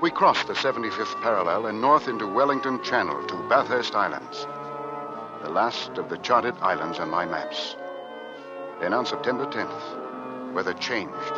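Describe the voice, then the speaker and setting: An actor playing a dutiful ship's navigator narrating an Arctic voyage in formal, dramatic old-time radio style. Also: male voice, lowish voice, animated, normal speed, close to an everyday voice. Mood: grave, foreboding. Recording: dull vintage radio recording with music.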